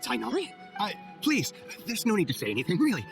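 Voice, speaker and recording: A jumpy, self-important male researcher from a video game. He is wordy and flustered, speaking quickly with lively swings in pitch, with music behind him. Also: exaggerated voice. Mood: panicked. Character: jumpy, self-important lowly scholar, eager to excuse himself. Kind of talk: animated video game voice acting.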